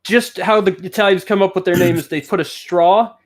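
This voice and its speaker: A man's voice: high-pitched, steady tone, fairly quick. A man guesting on a comedy podcast, with laid-back, profane banter. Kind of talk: casual comedy chat.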